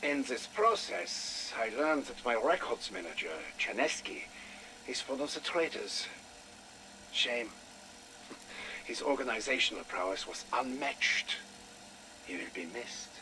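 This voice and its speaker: An actor playing a sinister German doctor with menacingly polite, theatrical delivery and a German accent in a video game audio log. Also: male voice, mid-range voice, lively intonation, measured pace, long pauses, bright, forceful tone. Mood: smug, deferential. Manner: theatrical, menacingly polite, savouring his words. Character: sinister German doctor and torturer, gloating Soviet operative. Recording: noisy radio-style audio log.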